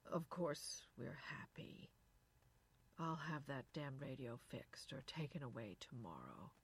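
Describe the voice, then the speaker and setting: A woman's voice: low-pitched, normal speed, a bit bright, slightly rough, full character voice. Woman reading as a weary, exasperated husband. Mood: tired, soothing. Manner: weary, firm remonstrating. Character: weary, exasperated husband, reasonable but resentful.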